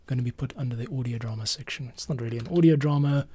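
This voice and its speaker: A man's voice: mid-range voice, rapid-fire, warm tone, slight background noise. A middle-aged man thinking aloud in casual live-stream commentary while he edits audio, sometimes dropping to a near-whisper. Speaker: podcast editor and host. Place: small home studio room, indoors.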